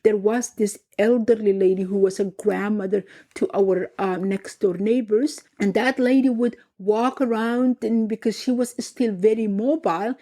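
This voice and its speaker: A middle-aged woman from Somalia, narrating her own family memoir in a reflective, unhurried way. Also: medium pitch, fairly even intonation, dark tone. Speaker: podcast host and narrator. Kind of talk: personal memoir storytelling.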